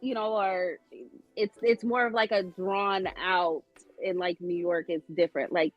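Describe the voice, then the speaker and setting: A woman's voice: on the low side, steady tone, measured pace, muffled recording, soft background music. A woman from Chicago co-hosting a podcast, telling chatty stories with a lot of "like" and "you know.". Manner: chatty, storytelling, many fillers. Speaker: podcast co-host. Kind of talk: casual chat.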